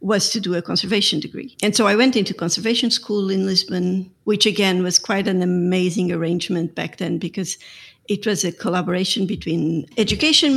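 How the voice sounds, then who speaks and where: A woman's voice: on the low side, vocal fry. Middle-aged Portuguese-accented woman, thoughtful interview guest, easygoing with small restarts. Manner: reflective, uses fillers and restarts. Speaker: guest, art conservator. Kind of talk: casual interview talk.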